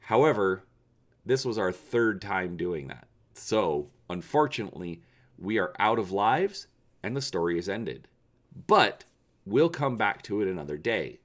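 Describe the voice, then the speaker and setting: Male presenter-narrator, low register, highly varied intonation, emphatic storytime delivery. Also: big pitch swings, measured pace, a bit bright. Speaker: channel host and story reader.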